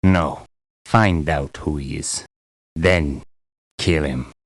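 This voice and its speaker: An actor playing a cold, menacing boss in a video game. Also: male voice, extremely low pitch, drawn-out words, dry recording, studio quality, exaggerated voice. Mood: cold, commanding.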